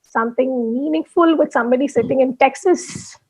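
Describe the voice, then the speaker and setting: A woman's voice: sits high, normal speed, a little mellow, rough recording. A woman with an Indian English accent, answering interview questions about her blogging over a video call in a chatty way, full of restarts and fillers. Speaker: blogger guest. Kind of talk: candid interview chat.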